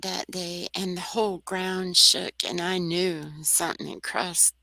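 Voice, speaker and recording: A soft-voiced woman, a guest on a talk radio show, telling a personal story in an earnest, rambling way. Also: fairly low pitch, steady tone, unhurried, creaky, no room sound. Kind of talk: casual storytelling.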